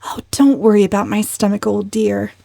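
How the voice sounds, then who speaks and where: A woman's voice: medium pitch, flat delivery, normal speed, creaky, dark tone, no room sound, studio quality, pitched above the performer's own voice. A woman voicing a defiant, coolly impudent young woman in a storybook, with pointed delivery. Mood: breezy defiance. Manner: pointed, insistent.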